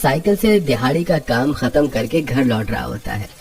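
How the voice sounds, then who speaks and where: A man's voice: medium pitch, expressive, normal speed, gravelly, crowd noise. A man narrating a scripted cartoon story as the storyteller.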